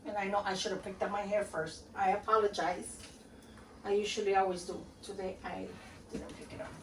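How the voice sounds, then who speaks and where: A woman's voice: mid-range voice, fairly even intonation, a little fast. A woman from Guatemala, perhaps in her thirties, chatting casually with lots of fillers while she shows a recipe in her home kitchen. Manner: chatty, rambling, many fillers and "okay?" check-ins. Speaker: home cooking vlogger. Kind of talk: casual home cooking demonstration. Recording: roomy, mic at a distance, faint room noise.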